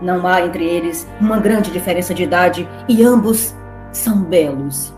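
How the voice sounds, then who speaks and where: A woman's voice: on the low side, normal speed, a little mellow, reverberant. A woman narrating a scripted story theatrically, slipping in playful, wry asides. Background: music.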